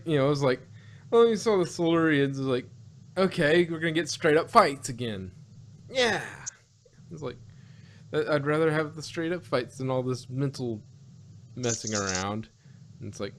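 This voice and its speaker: A man co-hosting a casual review podcast, rambling along haltingly and often repeating words as he goes. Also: on the high side, a bit bright, slight background noise. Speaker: podcast co-host reviewing audio dramas.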